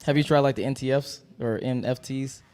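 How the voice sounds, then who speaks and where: A man hosting a podcast panel, moderating with brisk, loud prompting questions. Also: mid-range voice, steady tone. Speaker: podcast host.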